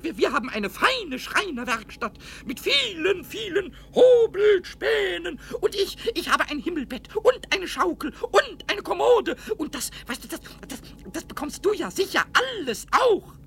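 A man playing a cheeky, excitable little kobold in a children's radio play, squeaky and creaky, stammering over repeated words and fond of rhyme. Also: a little slow, hardly any pauses, full character voice. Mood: eager. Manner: stammering repetitions, rhyming, excitable. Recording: faintly noisy 1970s radio recording, rumble.

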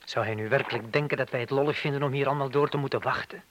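A man's voice: medium pitch, steady tone, rapid-fire, fairly bright tone, a little hiss or hum, close to an everyday voice. Impatient crime boss, curt and bossy male actor. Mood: irritated. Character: impatient, cursing male leader of a burglary crew. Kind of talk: radio play acting.

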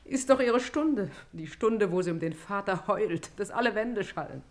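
A woman's voice: medium pitch, animated, moderate pace, a little hiss or hum, close to an everyday voice. A woman as a mocking, gossiping maidservant. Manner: mocking, theatrical. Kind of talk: classical German tragedy radio play.

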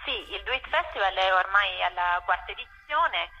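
A woman's voice: medium pitch, expressive, moderate pace, bright, forceful tone, muffled recording, soft background music. A woman giving explanatory interview answers over the telephone, hesitating as she searches for words. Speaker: festival artistic director, interview guest.

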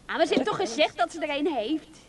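An actress playing a nosy, know-it-all gossip in a radio play. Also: female voice, sits high, lively intonation, quick pace. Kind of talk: Dutch radio play acting. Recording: noisy old radio drama recording.

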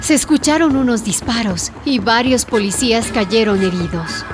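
A woman narrating a radio drama in a measured, dramatic storytelling manner. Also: a little high. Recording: narration over music and crowd noise.

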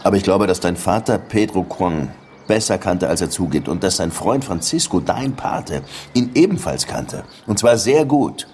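A very low-voiced, world-weary police detective in a radio drama. He is dry and brooding, a man who has seen too much. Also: expressive, normal speed, a little mellow, slight background noise. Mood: probing. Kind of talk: radio drama acting and first-person narration.

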